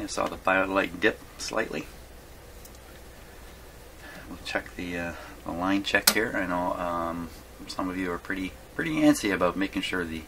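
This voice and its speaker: A man giving a casual hands-on workshop demonstration, thinking aloud in a rambling way and often questioning himself. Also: low voice. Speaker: presenter of radio repair videos. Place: small home workshop. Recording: clearly noisy small workshop recording.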